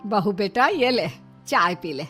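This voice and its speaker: A woman voicing a meek, long-suffering older mother-in-law in a cartoon, speaking softly and pleadingly over faint background music. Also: mid-range voice, expressive, measured pace. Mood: gentle. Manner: soft and pleading. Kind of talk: cartoon dubbing.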